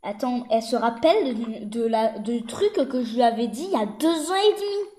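A woman voicing an excitable, slangy young reality-show contestant who rambles and repeats herself insistently in a toy story. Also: a little high, moderate pace, lightly acted. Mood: flustered. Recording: echoey, noisy homemade recording with clicks.

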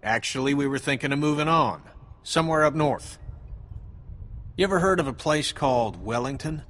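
An actor playing a stubborn, prickly middle-aged survivor in a dramatic video game, blunt, folksy and sarcastic. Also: male voice, a little high, expressive, moderate pace, fairly bright tone. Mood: testy.

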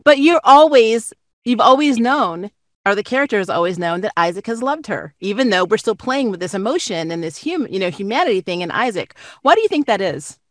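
A woman's voice: medium pitch, expressive, brisk, no echo, studio quality. A young adult woman hosting a casual, enthusiastic fan interview over a video call. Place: indoor room, on a video call.

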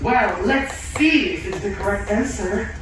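A man's voice: sits high, expressive, measured pace, far from the mic. A young man hosting a trivia game on stage, heard over the PA from the audience. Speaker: trivia game show host. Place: cruise ship lounge stage. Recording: echoey stage PA from afar, music.

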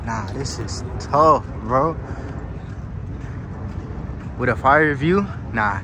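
Excitable young man vlogging outdoors. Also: mid-range voice, extremely slow. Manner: excitable, slangy, laughs often. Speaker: vlogger host. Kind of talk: casual vlog chat. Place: outdoors on a city pier and rooftop. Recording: noisy outdoor city street.

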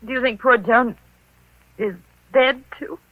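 An actress playing a detective's breezy, playful young wife, airy and coy, in an old radio mystery. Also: female voice, fairly high pitch, unhurried, no echo, phone-quality sound, close to an everyday voice. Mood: worried. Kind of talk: 1940s radio mystery acting.

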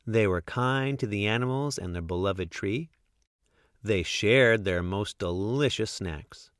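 Man, slow pace, close dry sound, expressive children's storytelling, deliberate emphasis. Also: on the low side, no echo. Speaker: guest storybook reader. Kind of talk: expressive read-aloud of a children's picture book.

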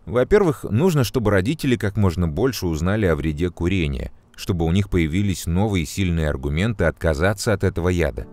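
A man voicing a calm adult scientist who explains things to children in a measured, earnest way. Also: deep voice, dry recording. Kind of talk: scripted educational narration.

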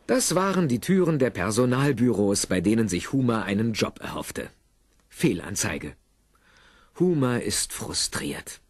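A man narrating a comedy audio drama in an animated, wry way with rhetorical questions. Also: on the low side, unhurried, slightly rough. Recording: music under the voice. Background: music.

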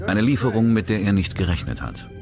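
A man's voice: on the low side, big pitch swings, a little fast. A man reads a scripted true-crime documentary narration. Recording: music bed behind the voice. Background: background music.

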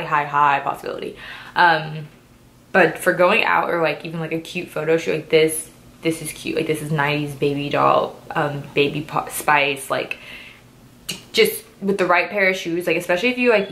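A woman's voice: deep voice, moderate pace, fairly bright tone. A woman in her twenties chatting casually through a clothing try-on haul, a little stuffy from a cold and full of "like" and "um" fillers. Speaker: fashion vlogger.

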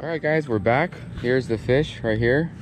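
A man's voice: medium pitch, moderate pace, very noisy. A young man outdoors giving upbeat, casual commentary on his fishing trip and calling out to his viewers, recorded on a body-worn action camera. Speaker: fishing vlogger, host. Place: outdoors on a grassy canal bank. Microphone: wide-angle action camera on his body. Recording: music playing under the voice. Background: music.

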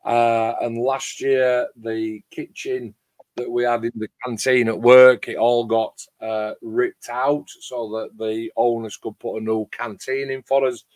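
A middle-aged man with a loud voice, chatting casually and in a rambling way as host of a hobby livestream. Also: mid-range voice, little pitch movement, measured pace, dry recording. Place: home garage workshop shed.

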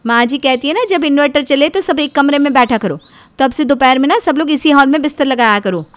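A woman voicing a cheeky, outspoken younger daughter-in-law in an animated family story, with a sassy, complaining manner. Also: high voice, fairly quick, dry recording. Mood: breezy, cheeky.

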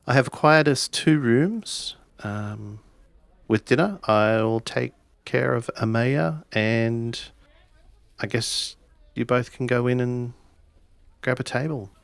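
A man's voice: mid-range voice, takes it slowly, creaky, dry recording. A man playing a polite, dutiful samurai retainer in a tabletop game, speaking formally and courteously. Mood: obliging.

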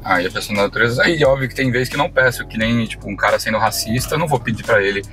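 A man in his thirties hosts a chat from a car, talking in an easygoing, slangy way. His voice sits at an ordinary pitch. Faint music plays behind him. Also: animated, rapid-fire, pushed and bright. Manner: easygoing, slangy, curious questions. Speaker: podcast host and rideshare driver. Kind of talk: casual chat. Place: parked car interior. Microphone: fixed dashboard camera.

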